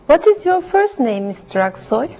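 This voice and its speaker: A woman with a high voice works through short practice phrases in a classroom role play. She speaks carefully, one line at a time. The recording is dull and lo-fi. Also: big pitch swings, moderate pace, warm tone. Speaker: language student.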